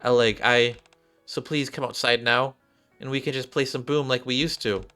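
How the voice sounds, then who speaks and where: A man voicing a shy, earnest young android gamer girl from a game story, halting and heartfelt. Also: mid-range voice, fairly even intonation, bright, forceful tone, smooth, faint music behind, voice pushed up, natural voice. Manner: halting, heartfelt, repeats and restarts.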